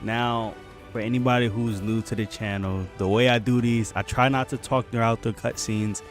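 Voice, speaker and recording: A man's voice: mid-range voice, fairly even intonation, moderate pace, faint music behind. A man hosting a gaming channel, talking casually as he introduces a playthrough.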